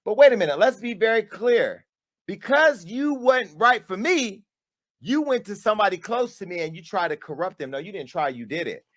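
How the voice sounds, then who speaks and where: A man hosting his own show, delivering a dramatic callout monologue with sass, swinging between hushed asides and loud, punchy bursts. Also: high-pitched, lively intonation, a little fast. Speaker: media company owner and host.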